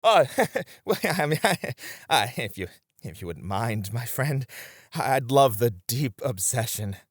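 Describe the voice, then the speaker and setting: A man's voice: mid-range voice, expressive, unhurried, dry recording, clean studio sound. A man playing a friendly, easily flustered bartender and playful flirt in an ASMR roleplay, prone to stammering. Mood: flustered, eager.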